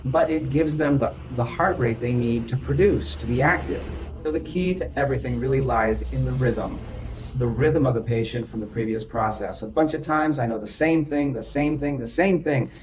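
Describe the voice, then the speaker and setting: A man's voice: mid-range voice, darkish tone, slight background noise, low-quality recording. A man speaking as a therapist in a therapy session, insistent and repetitive, often checking whether his listeners understand. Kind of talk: group therapy session talk.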